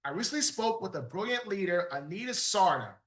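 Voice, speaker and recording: Animated, emphatic podcast host. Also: male voice, high voice, brisk, echoey room. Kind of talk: podcast interview with ad read.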